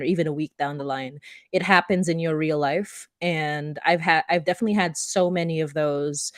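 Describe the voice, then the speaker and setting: A woman's voice: low-pitched, no echo, clean studio sound. A woman hosting a casual podcast conversation, talking in a chatty, informal way sprinkled with "like". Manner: chatty and informal, peppering sentences with "like". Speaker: host.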